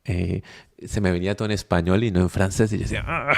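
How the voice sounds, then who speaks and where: A man's voice: deep voice, fast, vocal fry. A young adult man with a Colombian accent who is a guest on a podcast, speaking reflectively and thinking aloud with many fillers and restarts. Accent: Colombian Spanish. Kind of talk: conversational interview.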